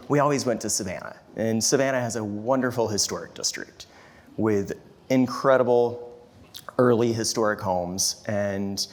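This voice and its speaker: A man from the southern United States, telling on a panel how he came to architecture. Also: medium pitch. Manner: steady, storytelling. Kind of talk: panel discussion answer.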